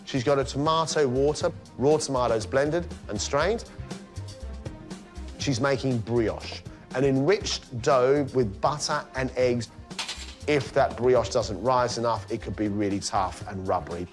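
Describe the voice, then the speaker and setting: A man's voice: medium pitch, unhurried, soft background music. A man working as a cooking competition judge, explaining the dishes with enthusiasm in a studio kitchen. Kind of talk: judging commentary.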